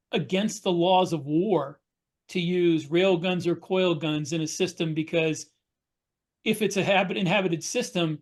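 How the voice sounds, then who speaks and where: A man talking casually as a podcast co-host on a video call, often halting and rephrasing as he explains. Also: sits high. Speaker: podcast co-host, science fiction author. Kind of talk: casual panel discussion. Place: indoors at home, video call.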